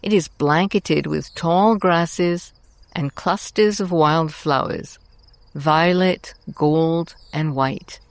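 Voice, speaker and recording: Female meditation guide: low voice, very unhurried, soothing and deliberate, close dry sound. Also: smooth, dry recording. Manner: soothing, deliberate, with long pauses between short phrases. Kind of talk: scripted guided meditation narration.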